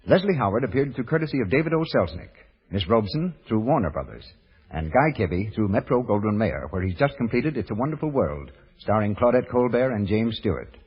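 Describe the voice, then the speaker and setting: Husky American radio announcer, reverberant vintage recording. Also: male voice, on the low side, big pitch swings. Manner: formal, measured presentation. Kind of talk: 1930s network radio announcing, scripted. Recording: muffled 1930s radio broadcast with long reverb.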